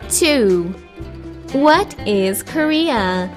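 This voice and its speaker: A woman reading questions and example words for a children's language drill, with deliberate, drawn-out enunciation. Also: fairly high pitch, animated, faint music behind.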